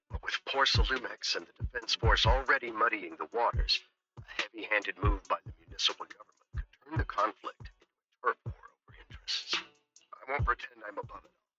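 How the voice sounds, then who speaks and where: A composed, shrewd game mayor, with a low but very bright, forceful voice. He speaks formally and diplomatically in measured sentences, over faint music with slightly dull audio. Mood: measured, earnest. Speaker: mayor briefing agents. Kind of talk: scripted video game voice acting.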